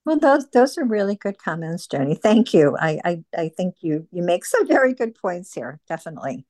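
A woman's voice: on the low side, big pitch swings, normal speed, darkish tone. A woman leading a book discussion group, asking questions and calling on members one by one. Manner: calls on members, encouraging.

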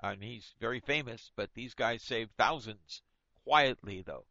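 Bright-voiced man in a dull, dry recording. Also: mid-range voice, measured pace, dry recording. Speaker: book discussion moderator. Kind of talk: informal group book discussion.

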